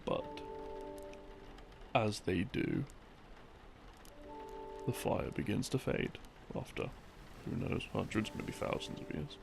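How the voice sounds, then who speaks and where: Slow, gravelly man rambling through lore. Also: lowish voice. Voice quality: creaky, gravelly. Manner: halting, musing delivery with pauses. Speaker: audio roleplay creator playing a boyfriend. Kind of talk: rambling storytelling, sleep-aid lore explanation. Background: background music.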